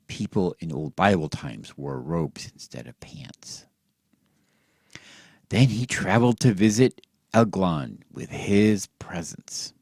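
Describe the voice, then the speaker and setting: A man telling a satirical bedtime story as if to children, in a theatrical, mock-earnest way with drawn-out words and hushed drops. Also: lowish voice, gravelly, slightly rough, no echo, clean studio sound.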